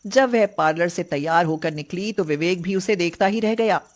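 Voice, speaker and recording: A woman's voice: lowish voice, normal speed. A woman narrator reading a scripted moral story.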